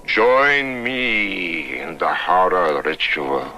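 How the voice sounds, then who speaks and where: A sinister vampire count from a seventies horror trailer. The voice is deep and swooping, with a sharp, intense edge, over old trailer music. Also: male voice, big pitch swings, extremely slow, heavily acted. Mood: menacing, slow. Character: menacing male vampire count inviting victims. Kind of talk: 1970s horror film trailer acting.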